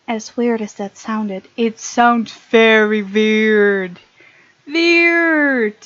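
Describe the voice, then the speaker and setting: Chatty giggly gamer girl reading a visual novel out loud, highish voice, kinda lo-fi sound. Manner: chatty, giggly asides while reading aloud. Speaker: gaming video host. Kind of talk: playthrough commentary mixed with reading visual novel text aloud.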